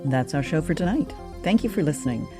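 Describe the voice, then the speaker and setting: A woman's voice: low voice, animated, fairly quick. A woman hosting a podcast in casual discussion, hesitating and correcting herself as she goes. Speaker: podcast host. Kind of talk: casual discussion of old radio drama. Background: faint music.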